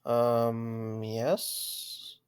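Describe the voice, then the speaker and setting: A man's voice: mid-range voice, monotone, drawn-out words, smooth. A man playing a practical, wry visitor in an audio drama, speaking in a dry, matter-of-fact, sceptical way. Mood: polite, curious.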